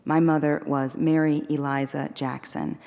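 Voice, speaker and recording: A woman poet giving a measured, deliberate reading of her poems at a live event. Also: sits low, flat delivery, vocal fry, dark tone, big-room sound. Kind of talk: poetry reading. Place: performing arts center hall.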